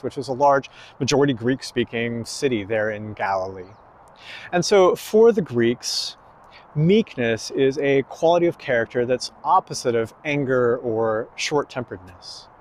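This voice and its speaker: A man preaching a sermon slowly and emphatically, pausing between phrases. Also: mid-range voice, a little hiss or hum. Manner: slow, emphatic preaching with long pauses.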